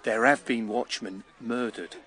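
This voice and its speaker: A man's voice: mid-range voice, a little slow, fairly bright tone, some chatter behind, natural voice. An actor playing a talkative, matter-of-fact watchman in a radio drama, telling things in a plain-spoken way. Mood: eager storytelling. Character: matter-of-fact, talkative working man, a night-and-day watchman.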